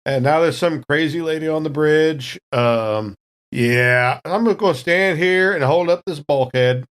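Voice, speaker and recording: A man playing a tabletop game, casually narrating what his character does in a halting, understated way. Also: fairly high pitch, smooth. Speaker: player in a role-play podcast.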